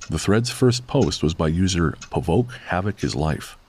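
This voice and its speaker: A man acting as the measured narrator of a horror audio drama. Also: low voice, lively intonation, darkish tone, breathy edge. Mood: measured, ominous. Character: measured male narrator of a ghost story.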